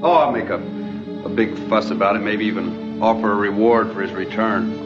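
Low-voiced, smooth-talking western swindler played by a man. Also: fairly even intonation, normal speed, close to an everyday voice. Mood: confiding. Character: charming young male swindler, breezy and unrepentant. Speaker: actor in a television western. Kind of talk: 1960s TV western acting. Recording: dull old TV soundtrack under music.

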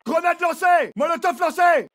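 Casting: urgent game soldier, very high strained pitch, sharp, forceful, terse barked callouts. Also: male voice, moderate pace. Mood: shouting, urgent. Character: combat soldier, forceful, urgent adult man. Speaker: voice actor, French dub. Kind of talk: military shooter game callouts.

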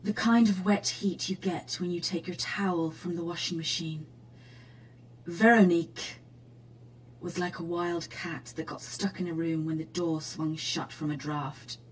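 An awkward lady novelist in a radio drama. Her voice is husky and unhurried, with a nervous, earnest manner. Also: on the low side, fairly even intonation, low-quality recording. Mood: earnest, breathy reading. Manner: nervous, self-correcting, earnest. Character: awkward, flustered lonely woman romance novelist.

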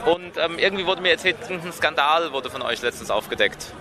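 Male street reporter, casual, quick, slightly high voice, busy crowd behind. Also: animated. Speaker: podcast interviewer. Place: outdoors in a busy city street. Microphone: handheld field microphone. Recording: outdoor street recording with crowd noise.

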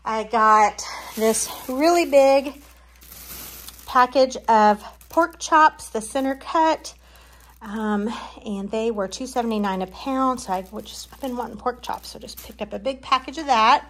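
Chatty, higher-voiced woman vlogger. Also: measured pace, fairly bright tone. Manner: informal, folksy, rambling asides. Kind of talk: chatty grocery haul narration. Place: home kitchen, indoors.

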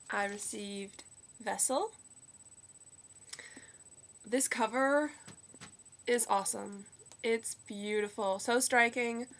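A young woman with a slightly croaky voice from a cold, chatting upbeat about new books into a webcam in her bedroom. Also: a little high, fairly bright tone. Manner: chatty, upbeat, quick asides. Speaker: book vlogger. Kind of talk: casual book haul chat. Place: bedroom at home. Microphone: webcam at desk.

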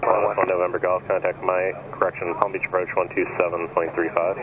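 Brisk flat-voiced male controller on radio. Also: low-pitched. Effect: aviation radio. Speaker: air traffic controller. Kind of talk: clipped radio instructions. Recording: dull radio with clear aircraft noise.

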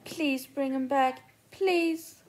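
Young woman as pleading, defiant teen heroine for an amateur fandub; high voice, slow delivery. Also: steady tone, darkish tone. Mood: pleading, upset. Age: young adult. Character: pleading, defiant teenage girl searching for her brother. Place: small living room, indoors.

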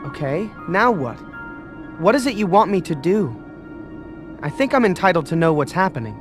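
Young game dragon, high boyish pitch, lively, earnest, uneasy, questioning, music behind. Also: male voice, normal speed. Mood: questioning, troubled.

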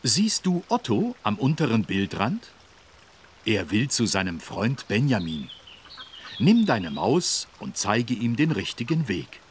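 A man voicing a friendly, dutiful policeman who explains things clearly in a children's game. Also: fairly low pitch, huge pitch swings, slow, a little hiss or hum, heavily acted. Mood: kindly. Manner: kindly, clearly instructive. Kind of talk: children's educational game voice acting.

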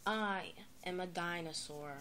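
Huffy dino king toy talking slow and defensive, woman doing the voice, phone cam on the carpet. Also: on the low side, bright, forceful tone, slight background noise. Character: boastful male dinosaur king, plush toy. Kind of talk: toy play-acting. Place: carpeted room indoors. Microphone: phone camera near the floor.

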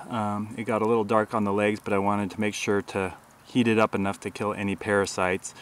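A man's voice: on the low side, a bit bright, smooth. Plain-spoken man, outdoor how-to talk. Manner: plain, matter-of-fact, with frequent "uh" fillers. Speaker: bushcraft video host. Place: outdoors in a grassy park.

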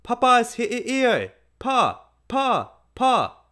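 A man doing a soft-voiced little boy. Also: very animated, normal speed. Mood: singing happily. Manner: high, soft and pleading. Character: small gentle boy of seven.

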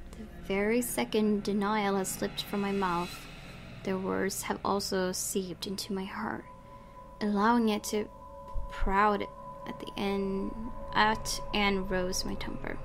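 Slow-reading woman gaming host over music. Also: mid-range voice, creaky. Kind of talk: reading visual novel narration aloud with casual asides.

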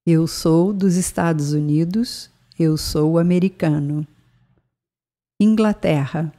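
A woman's voice: deep voice, slow, dark tone. A woman narrating a scripted bilingual language lesson, speaking carefully and spelling out words. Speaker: language teacher.

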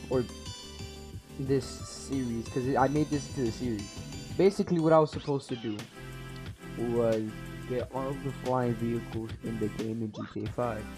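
A young man with a headset, reacting to his old gaming videos in rambling, self-mocking casual talk that swears freely. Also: medium pitch. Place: small room at home. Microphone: over-ear headset mic.

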